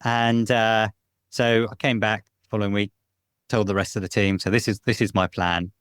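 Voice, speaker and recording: A middle-aged British man telling stories as a podcast guest, chatty and relaxed, often laughing. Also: on the low side, moderate pace, clean tone, no echo, studio quality. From Britain. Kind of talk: casual interview storytelling. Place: home, lives in Spain.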